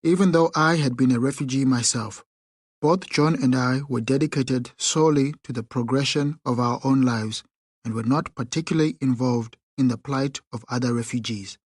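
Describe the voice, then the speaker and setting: A man narrates a first-person memoir for an audiobook, reading in a measured, unhurried way. His voice sits at an ordinary middle pitch. The close studio recording is dry, with no room sound. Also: slightly rough, dry recording.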